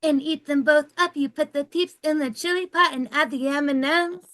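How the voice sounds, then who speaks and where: A woman performs an unhinged male professor from a TV comedy script. The voice runs a bit high and manic, in a close, dry recording. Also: steady tone, normal speed, fairly bright tone, no echo. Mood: manic, singsong. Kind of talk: fan table read of a TV comedy.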